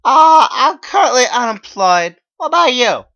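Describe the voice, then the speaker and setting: Whiny awkward nerd dude for a story, really high and piercing, halting and dragged out, earnest. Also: male voice, very animated, bright, forceful tone, full character voice. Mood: awkward, hesitant. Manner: halting, drawn-out, with stammered uh. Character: awkward 27-year-old unemployed geeky man, whiny and earnest.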